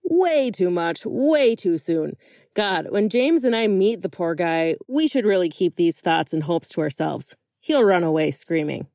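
A woman voicing an excitable, affectionate, chatty friend in a fiction reading, animated and emphatic. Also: fairly low pitch, a little slow, no room sound, studio quality. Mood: self-mocking. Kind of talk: acted dialogue in a fiction reading.

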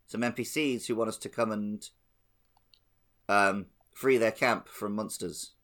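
Low-pitched man in casual chat. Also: fairly even intonation, measured pace, a bit bright. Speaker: podcast panelist. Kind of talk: casual gaming podcast discussion.

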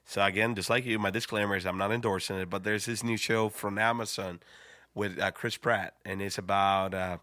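A man, a pastor co-hosting a casual talk show, who speaks haltingly and repeats words as he goes. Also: lowish voice, fairly even intonation, bright, forceful tone, no room sound, clean studio sound. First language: Spanish. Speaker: pastor, co-host. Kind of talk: casual podcast chat.

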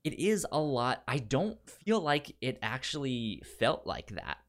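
A man co-hosting a podcast in casual film talk, speaking haltingly and often repeating the first sounds of his words. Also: mid-range voice, sing-song, a bit bright. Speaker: podcast co-host.

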